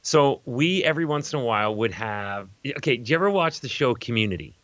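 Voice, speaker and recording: A middle-aged man chats casually as co-host of a comedy show. His voice sits at an ordinary pitch, recorded dry and free of room sound, and he rambles jokily through his stories with exclamations along the way. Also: animated, a little fast, a bit bright, dry recording, dull audio. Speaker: co-host of a live comedy film-riffing show. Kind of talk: casual chat, comic storytelling.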